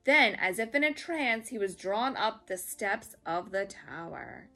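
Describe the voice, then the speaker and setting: A woman storyteller reading a children's story aloud in a dramatic, expressive way. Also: mid-range voice, a little slow, faint music behind.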